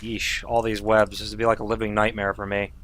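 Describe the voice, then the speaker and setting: A man's voice: on the low side, monotone, brisk, noisy background. A man casually commenting on his own speedrun and chatting with viewers, swearing now and then when frustrated. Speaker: speedrun streamer.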